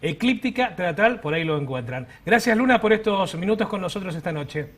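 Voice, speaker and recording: A man's voice: high voice, lively intonation, fairly quick, fairly bright tone. A middle-aged man with an Argentine accent co-hosting a radio show in a casual style. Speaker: radio co-host.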